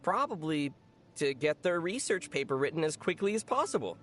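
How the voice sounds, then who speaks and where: A woman voicing a teasing, deadpan young traveler in a video game, with a dry manner. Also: deep voice, brisk, soft background music. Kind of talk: video game character dialogue.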